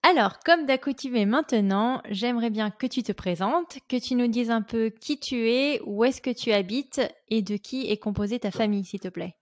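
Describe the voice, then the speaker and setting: A woman's voice: a little high, moderate pace, hardly any pauses. A woman hosting a podcast interview, asking friendly, prompting questions. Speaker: podcast host.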